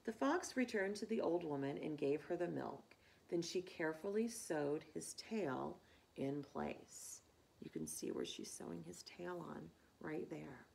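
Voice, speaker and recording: A woman storyteller reading a children's story aloud in an expressive, deliberate way, with teacherly asides. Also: low-pitched, gravelly, a little hiss or hum, rough recording.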